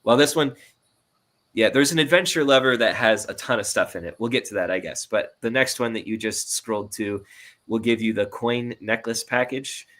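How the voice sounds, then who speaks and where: A man's voice: medium pitch, moderate pace, a bit bright. A man talking casually and informally as a guest, explaining things in a rambling, friendly way. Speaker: guest, audio drama producer. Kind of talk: casual explanation of crowdfunding rewards.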